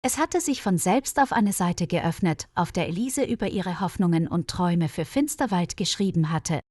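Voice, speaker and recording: A woman's voice: lowish voice, animated, moderate pace, a little mellow, dry recording. Woman storyteller, dry close studio sound. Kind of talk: scripted narration of a mystery story for German learners.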